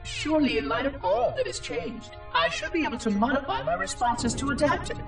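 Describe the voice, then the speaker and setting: An echoing, very high, synthetic voice of an ancient robot caretaker. He speaks in formal, exact, theatrical phrases, fussy and dutiful yet curious and lonely, with sweeping intonation, like a log entry in a sci-fi video game. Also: huge pitch swings, fairly quick, heavily acted. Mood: doubtful, wistful. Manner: precise, formal, theatrical enunciation. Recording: distant, echoing, music underneath. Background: music.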